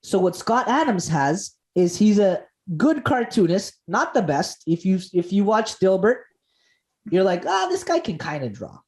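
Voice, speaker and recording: A man's voice: high-pitched, sing-song, a little slow, a little mellow. A man from Costa Rica, a comedian guest on a podcast, telling stories with enthusiasm and jokes and laughing often. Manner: enthusiastic, jokey, laughs often, restarts words. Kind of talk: animated podcast storytelling.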